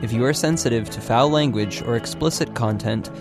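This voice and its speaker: A man's voice: mid-range voice, a little fast. A man reading a mock-serious content disclaimer. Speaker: disclaimer announcer. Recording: loud guitar music behind voice.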